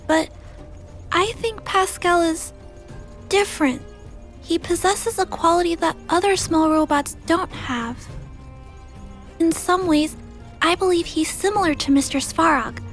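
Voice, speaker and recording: A high, earnest little girl from a video game. She is gentle, polite and careful in how she talks, with faint music underneath. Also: a little slow, pauses often, full character voice. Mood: earnest, thoughtful. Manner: polite, soft-hearted, careful.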